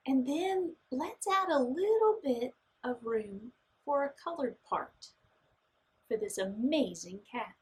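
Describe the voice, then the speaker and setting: A woman leads a children's art lesson one step at a time, in a fairly high voice. Her pitch moves a great deal, and she takes her time between simple, reassuring instructions. Her phrasing is encouraging and aimed at young learners. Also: animated, slow pace, a little mellow, poor audio. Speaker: art teacher.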